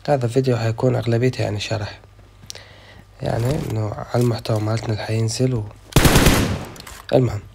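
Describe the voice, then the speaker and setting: Sick-voiced Iraqi man, gravelly. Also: mid-range voice, very noisy. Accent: Iraqi Arabic. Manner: chatty, loose, thinking aloud. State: slightly sick. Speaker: gaming channel host. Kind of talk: casual gameplay commentary.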